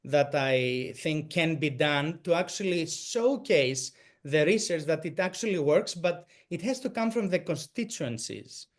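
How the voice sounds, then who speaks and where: A man with a Greek accent answering a question after a presentation, halting, with many restarts and fillers. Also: a little high, expressive. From Greek American.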